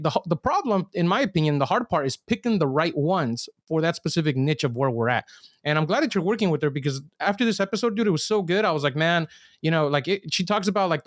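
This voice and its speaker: A man's voice: on the high side, no room sound, studio quality. A man hosting a podcast, talking in a laid-back, casual way with lots of "like" and "right?". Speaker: podcast host.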